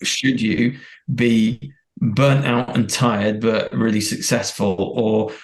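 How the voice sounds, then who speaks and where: Gravelly guy, grew up in thailand and india, thinking out loud in a podcast chat, earnest, lots of restarts. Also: fairly low pitch, little pitch movement, slow, poor audio. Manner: earnest, reflective, many restarts. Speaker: guest, performance director. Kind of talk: podcast interview conversation.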